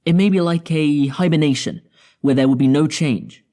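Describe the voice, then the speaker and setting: A man playing a measured, confident spaceship scientist in an audio drama, explaining in a deliberate way. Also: fairly high pitch, mellow, close to an everyday voice. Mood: calm, resolute. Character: measured, confident male spaceship scientist proposing drastic plans.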